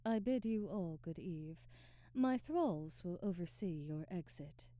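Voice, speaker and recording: Female vampire aristocrat, acted by a woman, very dark mellow tone, slow and imperious, formal diction, ASMR roleplay. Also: lowish voice, expressive, no room sound. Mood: polite, composed. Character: haughty aristocratic female vampire, commanding, coldly indignant. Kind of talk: scripted ASMR audio roleplay.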